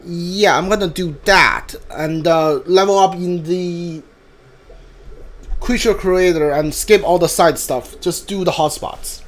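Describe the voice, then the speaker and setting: A man with a high voice streams a video game and talks to his chat. His commentary is casual and rambling, and he often circles back over the same point with hesitant phrasing. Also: slow. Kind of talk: casual live gameplay commentary.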